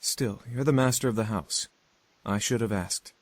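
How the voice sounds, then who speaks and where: A man acts out a reserved, scholarly incubus from a visual novel game. He speaks formally and courteously, as if explaining, in a fairly low voice recorded very dry and close. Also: normal speed, no echo, close to an everyday voice. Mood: courteous, apologetic. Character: polite, scholarly, reserved male incubus demon. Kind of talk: visual novel character acting.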